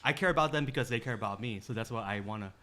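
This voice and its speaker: A man's voice: on the low side, racing, bright, forceful tone. A man hosting a casual group podcast, talking earnestly and often repeating his words and affirmations.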